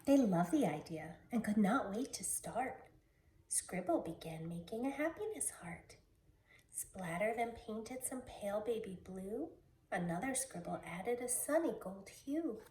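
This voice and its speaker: Female storybook reader: unhurried teacherly delivery, soft-toned, recorded at a distance in a roomy space. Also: mid-range voice, expressive. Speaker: teacher reading a picture book aloud. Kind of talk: slow, expressive children's story read-aloud. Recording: roomy, distant mic with background noise.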